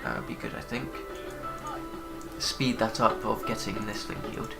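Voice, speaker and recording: A man's voice: fairly low pitch, fairly even intonation, moderate pace, gravelly, over loud music. A man with a cold, giving rambling, hesitant commentary while he plays a game. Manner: rambling, hesitant with many fillers. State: congested with a cold. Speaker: gaming channel host. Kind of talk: casual let's play commentary.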